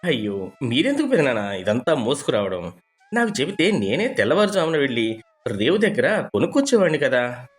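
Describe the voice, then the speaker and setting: Man playing an animated, hospitable village husband. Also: medium pitch, brisk, faint music behind, natural voice. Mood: welcoming. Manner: eager, persuasive. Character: cheerful, hospitable village husband who adores visiting relatives.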